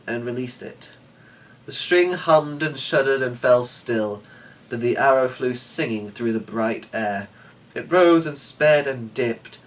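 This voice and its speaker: A man giving a dramatic narration of a storybook as its reader. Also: medium pitch. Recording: distant mic with noticeable background noise.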